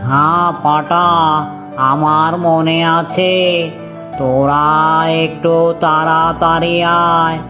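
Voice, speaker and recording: An actor voicing a lumbering, trusting, folksy giant demon in a children's cartoon, with a heavy drawn-out delivery. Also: medium pitch, little pitch movement, lightly acted. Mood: agreeable, commanding. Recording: music behind the voice. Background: music.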